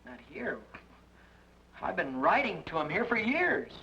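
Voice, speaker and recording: A man's voice: high voice, sing-song, measured pace, bright, forceful tone, recorded from a distance, full character voice. An actor playing a brash, cocky American man searching for his brother in an old radio drama, wisecracking and pushy. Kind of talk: 1940s radio acting. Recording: dull lo-fi old radio recording with faint hiss.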